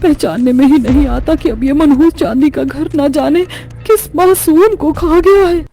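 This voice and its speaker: A woman's voice: high-pitched, normal speed, warm tone. A woman voicing a dismayed, gossiping village woman in a cartoon story, lamenting with disapproval. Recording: music behind the voice.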